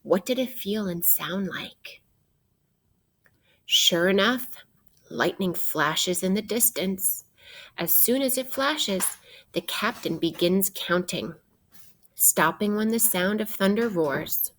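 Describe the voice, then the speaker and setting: A woman teacher reading a children's science book aloud in a deliberate way, putting questions directly to young listeners. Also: mid-range voice, animated, a bit bright, a little hiss or hum.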